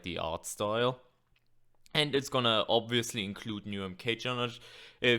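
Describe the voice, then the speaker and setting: Sick young man, bright crisp voice. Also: fairly low pitch. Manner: casual, filler-heavy, swears. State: sick, losing his voice. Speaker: gaming channel host. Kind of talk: casual video commentary.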